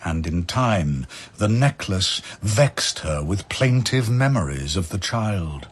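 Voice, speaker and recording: A man narrating archaic verse as a dramatic story, measured and declamatory. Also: low-pitched, lively intonation, slightly rough.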